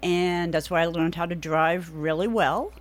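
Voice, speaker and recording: A middle-aged woman with a New England accent, a guest on a radio interview, speaking in a measured way with frequent ums. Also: on the low side. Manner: measured, hesitant with many ums. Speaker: political candidate, radio guest. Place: radio studio.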